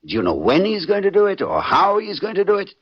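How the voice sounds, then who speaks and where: A man's voice: high voice, wildly swooping pitch, fast, husky, no room sound, full character voice. An actor playing a jittery, unraveling man in an old radio suspense drama, with intense, clipped theatrical delivery. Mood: agitated, exasperated. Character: jittery, unraveling man confessing to a police lieutenant. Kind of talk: 1940s-50s radio suspense acting. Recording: dull old radio recording, faint music.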